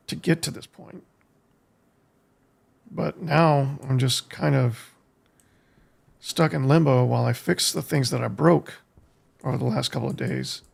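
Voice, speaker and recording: Gravelly American man thinking aloud. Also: medium pitch. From United States. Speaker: game streamer, host. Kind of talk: casual live gameplay commentary. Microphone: streaming desk microphone.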